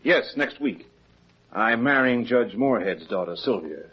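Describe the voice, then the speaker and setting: An actor playing a worried, well-off bridegroom being blackmailed in a 1950s radio drama, earnest and emphatic. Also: male voice, medium pitch, lively intonation, unhurried, breathy edge. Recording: muffled 1950s radio recording.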